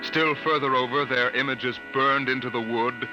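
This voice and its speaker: An actor playing a grave, dramatic storyteller, narrating in the style of 1950s radio drama. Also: male voice, mid-range voice, fairly even intonation, moderate pace, highs cut off, lightly acted. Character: grave, dramatic male storyteller of a doomed house. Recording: old radio recording with loud music.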